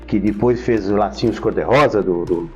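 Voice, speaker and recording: A man's voice: on the low side, moderate pace. An older man with a Brazilian Portuguese accent telling stories as an interview guest, enthusiastic and emphatic, often repeating names. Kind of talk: conversational storytelling interview. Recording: slightly dull sound, faint music behind.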